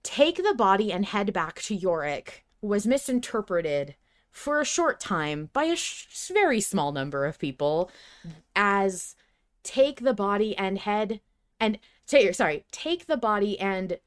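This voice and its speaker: A woman co-hosts a casual fan discussion podcast. Her voice sits at a middle pitch, and she speaks in an animated, emphatic way with some offhand swearing. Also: measured pace. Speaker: podcast co-host.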